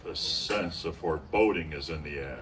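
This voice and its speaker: A man's voice: extremely low pitch, normal speed. A man announcing and narrating in theatrical old-time radio style. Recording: distant live stage, loud music and crowd.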